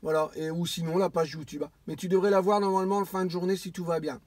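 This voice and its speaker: A man chatting casually as an amateur radio operator, halting and often repeating his phrases. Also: high-pitched, fairly even intonation, a little mellow, no echo. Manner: repetitive and halting, circling back over phrases. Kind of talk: casual radio contact chat.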